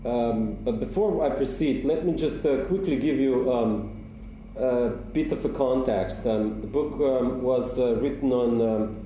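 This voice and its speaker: Hesitant poet in an echoey hall. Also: male voice, mid-range voice, a little slow, warm tone, recorded from a distance, a little hiss or hum. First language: Slovenian. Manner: hesitant, many ums and uhs. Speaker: poet giving a reading. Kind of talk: live poetry reading with spoken introductions. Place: university lecture hall.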